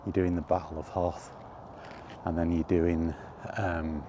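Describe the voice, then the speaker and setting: A middle-aged man chatting casually and reminiscing in a halting, thinking-aloud way, in short rambling phrases. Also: low-pitched, level tone, noisy background. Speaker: video creator and host. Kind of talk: casual reminiscing monologue about retro games. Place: outdoors while walking.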